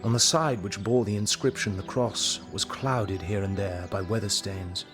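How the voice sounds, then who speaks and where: A man playing an earnest, courteous young Victorian gentleman with an English accent, in a period radio drama. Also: fairly low pitch, steady tone, normal speed, over music, natural voice. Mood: grave, reflective. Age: young adult. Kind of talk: period drama acting with measured first-person narration.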